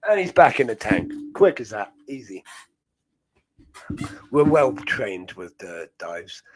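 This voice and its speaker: British man, young adult, rambling casual stream chat, fairly low voice. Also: sing-song, slow, breathy edge, low-quality recording. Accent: British English. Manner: rambling, chatty, laughs often. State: chest infection. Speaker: host.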